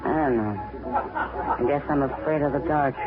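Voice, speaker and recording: A woman's voice: extremely low pitch, unhurried, loud music behind the voice, over a noisy crowd. An actress playing a worldly saloon woman who speaks frankly and wistfully, in a 1950s radio drama. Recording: tinny old radio broadcast in a noisy saloon.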